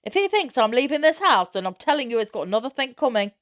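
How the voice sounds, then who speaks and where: A woman's voice: fairly high pitch, very animated, fast talker, voice pushed up. A woman voicing an emphatic, colloquial working mother with a stubborn, emotional temper in a storybook reading. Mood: defiant. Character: distraught, stubborn, angry working mother of a boy.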